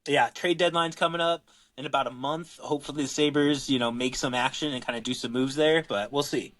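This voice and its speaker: Laid-back male podcast co-host, mid-pitched, easygoing rambling delivery with casual swearing. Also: steady tone, a little fast, fairly bright tone.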